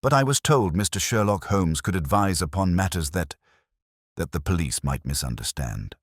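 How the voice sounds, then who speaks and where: An anxious, well-mannered young woman as a deep-voiced man reads her. The voice is husky and moves up and down in pitch, with hesitant, formal politeness suited to a detective audiobook. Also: lively intonation, moderate pace, dry recording, clean studio sound. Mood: uneasy, pleading.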